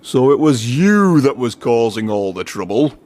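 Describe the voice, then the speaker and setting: A man's voice: medium pitch, big pitch swings, measured pace, warm tone. A man reading a children's storybook, voicing an authoritative male railway boss. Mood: realising.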